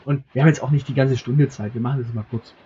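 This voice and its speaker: A man co-hosting a casual podcast, talking in a wry, halting way with many fillers, and acting as game master for a short role-play game. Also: medium pitch, fairly even intonation, mellow, muffled recording, a little hiss or hum.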